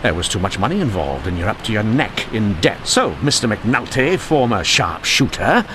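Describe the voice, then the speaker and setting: An actor playing a witty, dogged 1890s police inspector in a wry, theatrical radio mystery style. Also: male voice, fairly low pitch, big pitch swings, moderate pace, fairly bright tone, a little hiss or hum. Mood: confident, accusing. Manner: wry, theatrical, formally polite. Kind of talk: old-time radio mystery acting.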